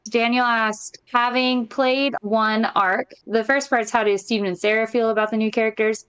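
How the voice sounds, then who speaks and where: A woman's voice: on the high side, flat delivery, normal speed, fairly bright tone, clear, resonant tone, rough recording. A woman on a podcast, chatting casually and reading out listener questions as a co-host. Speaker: podcast host and tabletop player.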